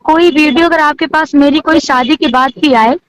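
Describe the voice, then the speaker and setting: A woman's voice: high voice, normal speed, slight background noise, rough recording. A woman in a phone conversation, speaking in a deferential, agreeable and self-justifying manner. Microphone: phone call.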